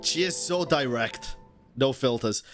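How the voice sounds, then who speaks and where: A man's voice: medium pitch, measured pace, a bit bright. A young man giving casual, slangy reaction commentary as a game streamer. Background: game music.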